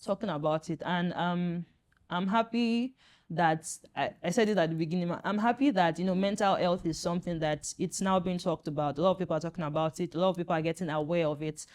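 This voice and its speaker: A woman's voice: on the low side, a little fast, a little mellow. Earnest woman doctor, reassuring. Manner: earnest and reassuring, with many "you know" fillers. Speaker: pediatric doctor and guest. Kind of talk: explaining to parents in a panel chat.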